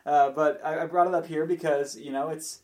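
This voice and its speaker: A man telling anecdotes in casual podcast chat, with a measured, dryly humorous delivery. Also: on the high side, fairly even intonation, a little mellow. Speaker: podcast commentator.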